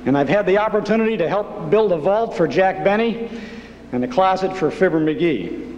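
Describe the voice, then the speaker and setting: A man's voice: high voice, moderate pace, a little mellow, dull audio. A man giving a humble, earnest acceptance speech on a ceremony stage. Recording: echoey hall, faint noise.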